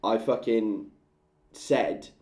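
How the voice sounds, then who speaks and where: A man's voice: fairly low pitch, very slow, a little mellow. Brief: twenties male co-host, loose sweary chat, roomy echo. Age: 20s. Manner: swearing, joking banter. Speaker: podcast co-host. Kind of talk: casual chat. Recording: echoey room.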